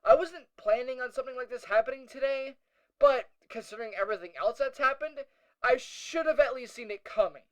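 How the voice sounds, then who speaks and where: A performer playing a special ops squad leader who records a scripted first-person audio log in a measured, matter-of-fact way. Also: high-pitched, close to an everyday voice. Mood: puzzled, resolute. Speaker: narrator.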